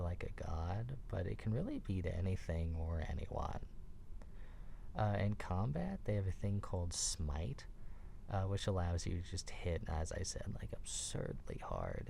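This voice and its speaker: A man speaking softly in a casual, rambling, enthusiastic ASMR style, slangy and sweary, sometimes dipping near a whisper. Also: deep voice, slight background noise. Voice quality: soft, breathy delivery dipping near whisper. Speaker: ASMR roleplay host. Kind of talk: soft-spoken ASMR roleplay, casual explaining.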